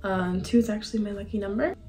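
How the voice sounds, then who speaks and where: A woman's voice: medium pitch, fast, mellow. A 21-year-old woman vlogger talking in a casual, slangy, confiding way, as in a chatty sit-down monologue. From several countries, including Argentina and Bosnia. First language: bilingual English and Spanish. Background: background music.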